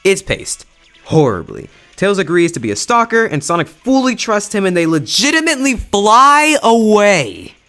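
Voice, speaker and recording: Young guy doing a casual youtube review, voice swings all over, kinda high, music underneath. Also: very animated, normal speed, sharp tone. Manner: enthusiastic, emphatic, slangy. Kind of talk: casual video movie review.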